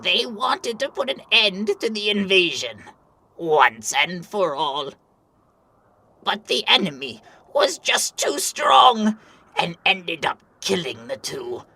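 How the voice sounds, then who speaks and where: A man voicing an old, grave grandmaster of an ice nation in an animated fantasy, solemn and melodramatic. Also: sits high, takes it slowly, gravelly, husky, exaggerated voice. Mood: solemn storytelling. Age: older. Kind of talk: animated fantasy drama acting.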